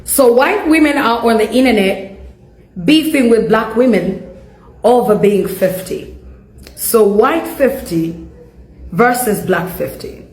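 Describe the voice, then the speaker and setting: A woman's voice: on the high side, takes it slowly, echoey room, low-quality recording. A woman giving casual commentary on viral videos and asking viewers to weigh in. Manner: chatty, addresses viewers directly.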